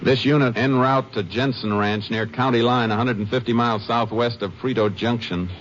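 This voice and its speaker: An actor playing a steady Texas Ranger in a 1950s radio drama, calm, firm and matter-of-fact, also narrating. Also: male voice, mid-range voice, normal speed, lightly acted. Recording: muffled vintage radio with faint hiss.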